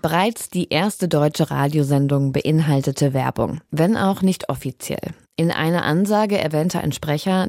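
A woman's voice: low voice, dark tone, dry recording, studio quality. A woman reading a scripted radio feature as a reporter.

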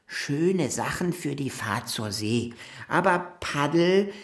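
A woman playing a naive, stubborn, dreamy male would-be seafarer with an earnest, deliberate way of talking in a children's radio play. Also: sits low, animated. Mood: matter-of-fact. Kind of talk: German children's radio drama acting.

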